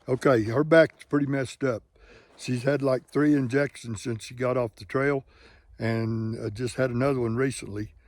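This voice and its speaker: A man's voice: medium pitch, a little mellow. A man in his late sixties, a hiking vlogger, talking candidly and at length to his viewers in a folksy, rambling way. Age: 60s. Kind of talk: candid casual talk to camera. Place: living in Colorado.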